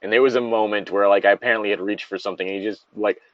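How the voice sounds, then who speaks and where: A man's voice: fairly low pitch, fairly even intonation, rapid-fire, no room sound, highs cut off. A man in casual banter as a show co-host, rambling, swearing and correcting himself as he goes. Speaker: co-host of a story-reading show.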